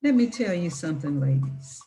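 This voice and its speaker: A woman reading aloud in an emphatic, deliberate manner, with informal asides. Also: low voice, expressive, dark tone. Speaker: reader with commentary.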